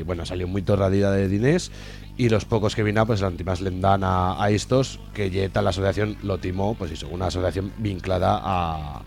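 A man with an Aragonese accent giving informal, sardonic political commentary as a radio contributor, halting often with repeated filler words. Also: low-pitched, a little mellow.

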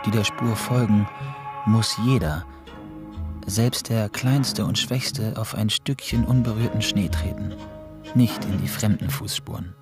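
A man's voice: on the low side, unhurried, close to an everyday voice. A man narrating a literary radio drama in a scripted style. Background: prominent music.

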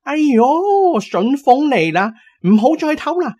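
Old male desert guide, excitable, superstitious, high-pitched, drawn-out cries, audiobook character. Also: heavily acted. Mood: alarmed, urgent shouting. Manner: drawn-out, exclaiming shouts.

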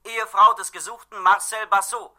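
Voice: mid-range voice, steady tone, brisk, bright, forceful tone. A performer playing an impersonal police dispatch announcer, official and staccato, in a 1960s radio play. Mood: urgent, impersonal.

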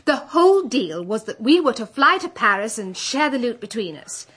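Brazen, higher-voiced young thief. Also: female voice, very animated, normal speed, a bit bright, slightly rough, full character voice. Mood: defiant, scornful. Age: young adult. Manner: bold, mocking. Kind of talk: radio drama acting.

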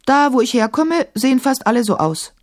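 A woman's voice: high voice, animated, a little fast, pitched above the performer's own voice. A woman voicing a bold, indignant young girl in a storybook, direct and defiant. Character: bold, indignant young girl who stands her ground.

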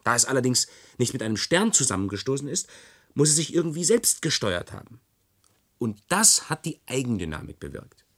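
A man's voice: mid-range voice, very animated, brisk, fairly bright tone. An actor playing a sly, persuasive man in a science-fiction radio play, coaxing and mock-reasonable as he explains. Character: sly, persuasive man spinning plausible technical nonsense.

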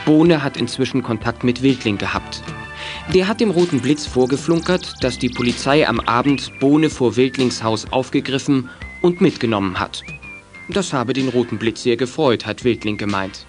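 A man's voice: medium pitch, animated, moderate pace. Male radio play narrator with music behind.

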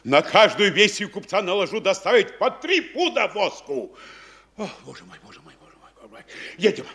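An actor playing a fretful, scheming middle-aged provincial mayor, muttering to himself and bursting into shouts. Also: male voice, sits high, big pitch swings, fairly quick, vocal fry, slightly rough, heavily acted. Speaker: actor in a radio play. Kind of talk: classic stage radio acting.

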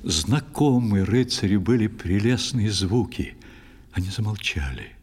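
A man narrating an audio play in scripted verse, with a theatrical, expressive literary delivery. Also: on the low side, a little slow, breathy edge.

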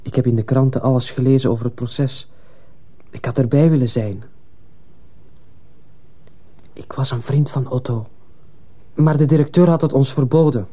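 A man's voice: medium pitch, fairly quick, dark tone, close to an everyday voice. An actor playing an earnest, fearful schoolboy in a radio drama, confiding respectfully and hesitantly in his teacher.

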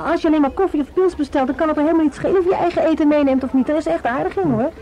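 An actress playing a nosy, sharp-tongued woman in a radio drama, who probes and teases. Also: high voice, very fast, natural voice, poor audio. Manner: probing, teasing, sarcastic.

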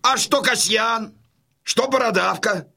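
An actor playing a proud, boisterous old Cossack father in a theatrical radio play, declaiming with a gravelly voice. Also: very high-pitched, takes it slowly, sharp tone, exaggerated voice. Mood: hearty. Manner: declamatory, swinging from brooding murmur to hearty bellow. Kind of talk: theatrical audio drama acting.

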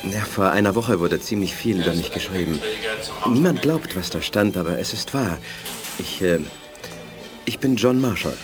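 An actor playing an earnest, polite and idealistic telepath in a 1980s German radio play, speaking haltingly. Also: male voice, deep voice, lively intonation. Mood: hesitant, nervous. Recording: music behind studio radio drama.

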